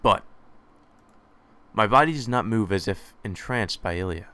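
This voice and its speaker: A man's voice: on the low side, lively intonation, measured pace, bright, forceful tone, slight background noise. A man reading a visual novel aloud in first person as the hero, with sarcastic asides of his own. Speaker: let's play narrator.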